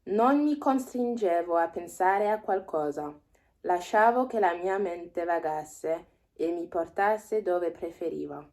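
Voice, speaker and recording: A woman in her twenties, a native French speaker, vlogging as a language learner. She reads a text aloud slowly, thinks aloud and guesses at words she doesn't know. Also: lowish voice, a little mellow. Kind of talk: reading aloud and explaining a text.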